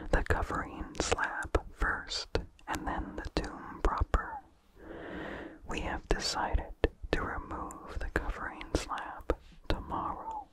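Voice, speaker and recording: A narrator reading a vintage horror story aloud in a soft, measured ASMR whisper. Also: poor audio.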